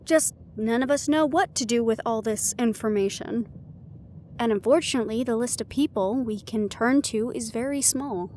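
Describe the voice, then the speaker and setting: A high-voiced, earnest young woman played by a voice actress in a fantasy audio drama. She is a weary investigator of the arcane, speaking hesitantly and conversationally over faint ambience. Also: normal speed, darkish tone, a little hiss or hum, close to an everyday voice. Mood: frustrated, weary. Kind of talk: fantasy audio roleplay acting.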